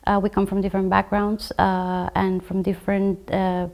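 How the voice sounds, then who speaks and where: A woman from Guatemala, a graduate student, talking about her study program in a measured way, with frequent fillers and restarts. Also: mid-range voice, monotone, creaky, darkish tone. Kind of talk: conversational program overview.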